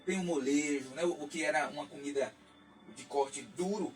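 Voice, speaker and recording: A man's voice: a little high, normal speed. A man, a radio guest talking politics in a reflective, lecturing way with frequent restarts. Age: 40s. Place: radio studio. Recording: distant mic, faint music underneath.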